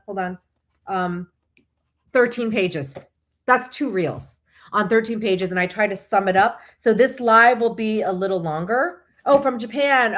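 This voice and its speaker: A woman whose first language is Korean, hosting a casual, chatty livestream, often digressing and thinking aloud as she replies to viewers. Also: mid-range voice. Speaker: host of a live online show; licensed therapist.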